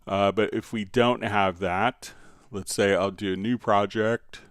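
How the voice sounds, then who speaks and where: Male tutorial host, lower-pitched and smooth, close dry sound, casual step-by-step instructor delivery. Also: fairly even intonation, a little slow, no echo. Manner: explanatory, step-by-step. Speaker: livestream host, software instructor. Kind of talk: casual software tutorial, answering viewer questions.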